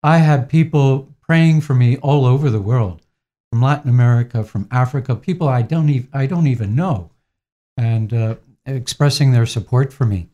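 Gentle priest in his sixties, mellow tone, calm reflective answers. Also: medium pitch, dull audio. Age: 60s. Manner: gentle, unhurried, frequent fillers. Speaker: priest, interviewee.

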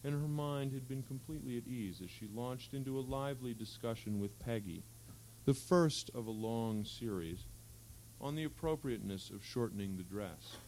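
A man reading literary fiction aloud at a live event, with a measured, articulate storytelling delivery. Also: mid-range voice, a little mellow, poor audio. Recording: faint hum and background noise.

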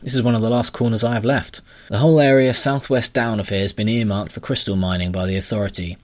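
A man voicing a sulky, pedantic burrowing creature who talks in a flat, matter-of-fact way. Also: on the low side, quick pace, natural voice.

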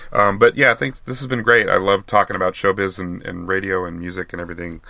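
A man hosting a podcast, getting hoarse, signing off with friendly thanks and plugs. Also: deep voice, fairly even intonation, bright, forceful tone. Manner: brief friendly affirmations, then rapid sign-off plugs. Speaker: podcast host. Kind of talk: casual interview chat.

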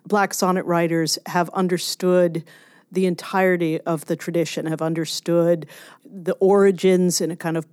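A woman scholar explaining her research as an interview guest, deliberate and emphatic on key words. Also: on the low side, flat delivery, a little mellow, dry recording, studio quality. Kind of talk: explanatory academic interview talk.

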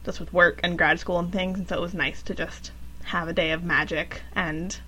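A young woman in her twenties co-hosting a casual podcast, gushing in an upbeat way with soft laughs. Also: fairly low pitch, fairly even intonation, moderate pace, husky, rough recording. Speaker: podcast co-host. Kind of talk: casual chat.

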